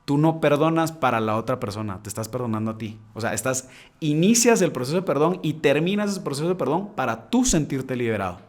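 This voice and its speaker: A man hosting a podcast, talking earnestly and casually, using slang and addressing listeners directly, with his loudness swinging between soft and emphatic. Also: medium pitch, animated, a little fast. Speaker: podcast host. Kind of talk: casual reflective monologue.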